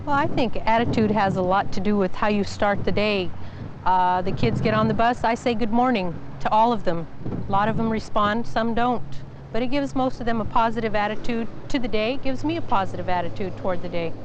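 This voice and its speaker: A woman's voice: medium pitch, a little fast. A woman speaking as a bus driver in a casual testimonial, recorded on location. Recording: noisy outdoor vehicle location sound.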